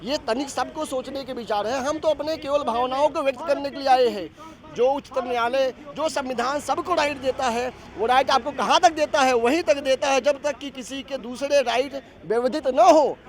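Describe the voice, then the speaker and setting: A man speaks in a very high-pitched voice as a protester interviewed outdoors. He argues his case insistently, stacking rhetorical questions and sometimes restarting his phrases. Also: moderate pace. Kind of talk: vox-pop political argument. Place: outdoors on a city street.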